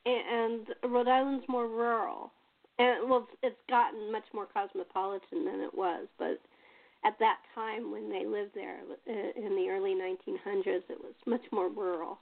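A woman's voice: mid-range voice, level tone, moderate pace, vocal fry. An American woman from Los Angeles hosting a casual chat in a rambling, repetitive way over tinny phone-like audio. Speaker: host and playwright.

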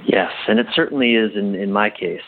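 A man being interviewed as a guest, explaining things like a coach in a thoughtful, halting way and repeating phrases for emphasis. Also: on the low side, phone-quality sound, a little hiss or hum.

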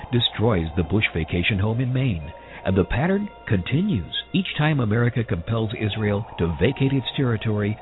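A man with a lowish voice reads a dramatic radio ad in a grave, measured way. The sound is thin and narrow, like a phone line. Music plays clearly behind him. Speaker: commercial voice-over announcer. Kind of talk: dramatic scripted ad narration. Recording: tinny broadcast with music behind.

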